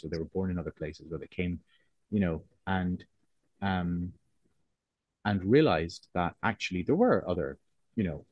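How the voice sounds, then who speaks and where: Low-voiced man with a soft Irish accent. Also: slightly rough, no echo, dull audio. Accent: soft, neutralised Cork Irish, closer to English. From Cork, Ireland. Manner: hesitant, thoughtful, circling back to rephrase. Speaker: interviewed writer. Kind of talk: reflective conversational interview.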